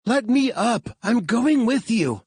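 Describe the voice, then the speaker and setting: A man's voice: sits high, sing-song, measured pace, breathy edge, no echo, pitched above the performer's own voice, exaggerated voice. A man voicing a fierce, determined woman scientist in an audiobook, speaking urgently. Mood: frantic.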